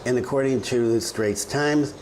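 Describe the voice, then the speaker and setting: A man's voice: medium pitch, normal speed, darkish tone, a little hiss or hum. A man hosting a casual news update video, reading figures and articles aloud and adding his own chatty asides. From lives in Bali. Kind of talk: casual spoken news roundup.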